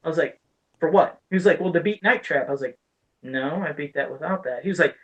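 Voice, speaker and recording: A man's voice: fairly high pitch, fast, a bit bright, dull audio. A man chatting casually about games as a podcast co-host, telling rambling stories with plenty of swearing. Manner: profane, rambling storyteller. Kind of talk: casual gaming chat.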